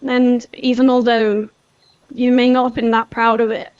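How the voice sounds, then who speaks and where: A young woman playing an apologetic teenage girl in an amateur voiced game series, talking in a halting, earnest ramble. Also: on the high side, little pitch movement, a little mellow, clear, resonant tone, dry recording, lightly acted. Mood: guilty, pleading. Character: guilty, apologetic teenage girl, former best friend. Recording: dull lo-fi voice track.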